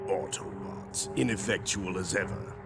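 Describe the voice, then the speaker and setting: A man playing a sardonic, greedy robot bounty hunter villain in a video game, gravelly, mocking and theatrical. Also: deep voice, measured pace. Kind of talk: video game cutscene voice acting. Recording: loud music under the voice.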